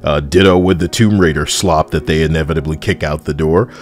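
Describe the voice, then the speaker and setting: A man's voice: very deep voice, steady tone, a little fast. A man giving casual, sardonic, rambling video game commentary as host, close on the mic. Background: music behind the voice.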